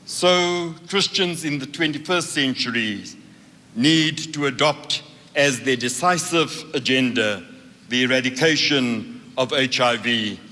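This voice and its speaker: A man's voice: mid-range voice, a little slow, sharp tone. A man speaking emphatically and rhetorically at a church conference. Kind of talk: speech at a conference. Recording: reverberant hall.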